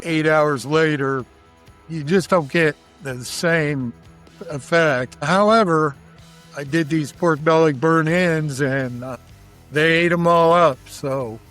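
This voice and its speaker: A man's voice: on the high side, a bit bright. A middle-aged man with an American accent, an interview guest casually telling stories about his work. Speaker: interview guest, barbecue cook.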